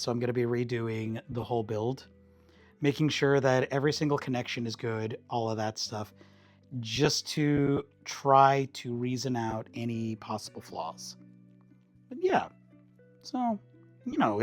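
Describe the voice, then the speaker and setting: A slightly hoarse man chatting casually with viewers while cooking in his home kitchen, rambling in an easygoing way and chuckling often. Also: medium pitch, animated, a little slow, faint music behind. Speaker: streamer.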